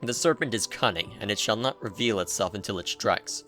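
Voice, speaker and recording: Male narrator, dramatic scripted reading, intense, forceful bright tone, deliberate pauses, faint background music. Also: fairly low pitch. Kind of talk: dramatic scripted narration of video game writing.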